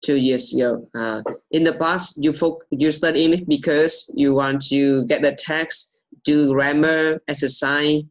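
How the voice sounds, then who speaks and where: A man's voice: a little high, fairly even intonation, rough recording. Young man teaching on a video call. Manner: repeats questions slowly, coaxing answers. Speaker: English teacher. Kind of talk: online English lesson over video call.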